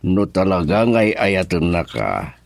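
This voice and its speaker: A calm older father in an Ilocano radio drama. His voice is low and gravelly with lively intonation, reassuring, with a Filipino accent. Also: measured pace, no echo, heavily acted.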